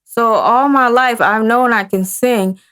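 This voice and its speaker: A woman in her thirties, a guest singer in a casual interview, talking in halting phrases with frequent restarts. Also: on the high side, fairly bright tone, smooth. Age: 30s.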